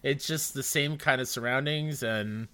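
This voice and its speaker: Male podcast host, bright tone, easygoing halting questions. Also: medium pitch, smooth. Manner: friendly, hesitant questions with fillers. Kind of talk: casual interview.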